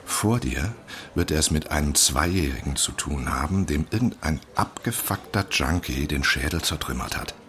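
An actor in a radio drama playing a brash, cynical friend who gives blunt, sweary, mocking advice. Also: male voice, very deep voice, expressive, moderate pace, a bit bright. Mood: grim, forceful. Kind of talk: naturalistic radio drama acting.

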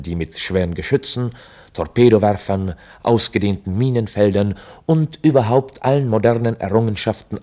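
An actor playing a formal chronicler, narrating a scripted radio drama in a documentary manner. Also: male voice, sits low, dark tone. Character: formal chronicler recounting a history.

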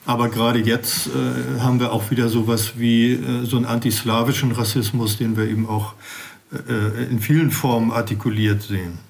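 Man, expert guest, academic tone, slow, many hesitations. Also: medium pitch, flat delivery, creaky, a little mellow. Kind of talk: radio interview answers.

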